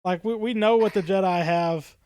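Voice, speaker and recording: Slightly high-voiced man, dry podcast sound. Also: normal speed, a little mellow, clean tone, dry recording. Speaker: podcast co-host. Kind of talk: casual analytical discussion.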